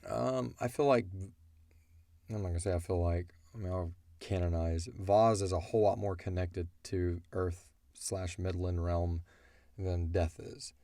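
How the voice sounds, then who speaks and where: A man's voice: sits low, fairly even intonation, measured pace, dark tone, no room sound, studio quality. A middle-aged man hosting a casual question-and-answer chat as the creator of a show. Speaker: audio drama creator and show host.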